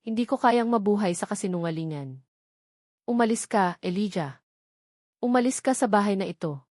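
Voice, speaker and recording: A woman's voice: mid-range voice, expressive, normal speed, darkish tone, dry recording, clean studio sound, natural voice. A woman voicing a bitter, unyielding wife in an audio drama, accusing and emphatic. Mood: anguished, resolute.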